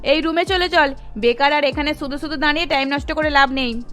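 A woman's voice: high voice, fairly quick, fairly bright tone. A woman playing a haughty, mocking young sister-in-law in a cartoon story, snide in manner, with music behind her. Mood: scornful. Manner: snide, disdainful. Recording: music under the voice.

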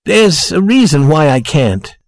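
A woman's voice: fairly low pitch, very animated, unhurried, voice pushed up. A woman voicing a humble, earnest man in love in a storybook. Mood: troubled. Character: humble, earnest little man in love, proud of his friend.

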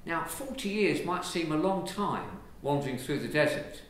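An Englishman, a priest, giving a lively, conversational sermon from the pulpit of a large church, filmed from a distance. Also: fairly high pitch, moderate pace. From England. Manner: lively, conversational preaching with gestures. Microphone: far camera. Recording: very echoey church from far off, faint hiss.

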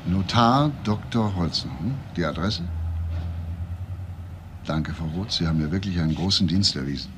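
An actor playing a composed, persistent police detective in a crime radio play, asking probing, deliberate questions. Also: male voice, low-pitched, animated. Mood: matter-of-fact. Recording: faint background noise.

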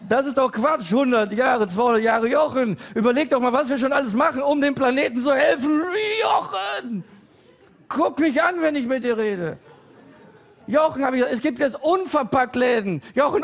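A man in his forties doing a stand-up comedy routine with halting, hesitant delivery and deadpan timing, full of pauses and fillers. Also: very high voice, gravelly. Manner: deadpan, growing excited and loud toward the end.

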